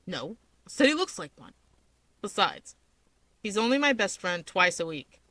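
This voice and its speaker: A woman voicing a gruff, cocky young male wizard in a story reading, blunt and sardonic as he teases. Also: medium pitch, big pitch swings, moderate pace, bright, forceful tone, no room sound, pitched below the performer's own voice. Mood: dry teasing. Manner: blunt, sardonic, self-assured. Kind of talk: fan fiction character reading.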